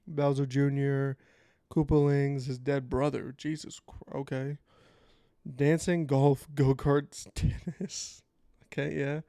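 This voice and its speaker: A man hosting a casual reaction video, sounding a little nasal from a cold, chatting in a laid-back way and reading out facts haltingly. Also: mid-range voice, flat delivery, dark tone, no room sound, clean studio sound.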